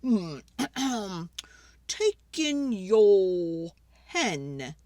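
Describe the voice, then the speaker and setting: Woman doing a very slow, ponderous wise old man. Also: medium pitch, big pitch swings, a little mellow, dry recording, clean studio sound. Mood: pondering. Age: older. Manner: ponderous, drawn-out, deliberate. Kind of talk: children's storybook read-aloud.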